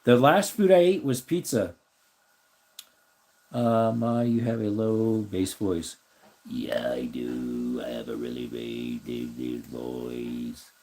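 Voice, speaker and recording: A man hosting a casual live stream, answering viewer comments and thinking aloud as he looks things up. His voice is slightly raspy. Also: a bass voice, slow pace, darkish tone. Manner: rambling, thinks aloud while looking things up.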